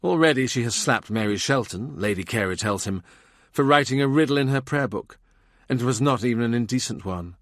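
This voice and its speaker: A man reading a historical novel as an audiobook narrator, in a measured, understated literary style. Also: medium pitch, fairly bright tone, no echo, clean studio sound.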